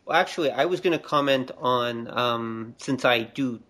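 Male co-host, average pitch, casual explainer in a discussion. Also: moderate pace, fairly bright tone. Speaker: podcast co-host, media studies teacher.

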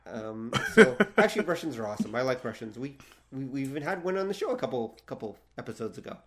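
A Canadian man with a mid-pitched voice chats casually as a podcast host. He has a joking, conversational manner and keeps things informal. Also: sing-song, fast talker, a bit bright. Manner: jokey, chatty.

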